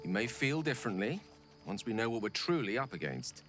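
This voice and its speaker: A man's voice: medium pitch, sing-song, fairly quick. An actor playing an eloquent, learned male wizard in a video game, speaking in articulate, tender, formal phrasing. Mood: flirtatious. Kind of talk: game voice acting. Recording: game audio with soft music behind.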